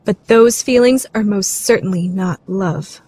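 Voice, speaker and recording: A woman's voice: mid-range voice, measured pace, darkish tone, no echo, lightly acted. A woman playing a proud, easily flustered rich teenage girl in an anime fandub radio play. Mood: haughty denial. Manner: haughty, formal, easily flustered.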